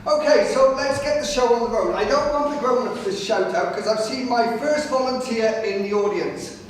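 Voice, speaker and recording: A man with a naturally loud voice gives a chatty local history talk, often checking in with "right?". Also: very high voice, normal speed, a little hiss or hum. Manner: chatty, addresses the audience directly with frequent "right?". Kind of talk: public history talk to a live audience. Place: large hall with pillars, live audience. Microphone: no microphone, far room pickup. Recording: echoey hall from far off.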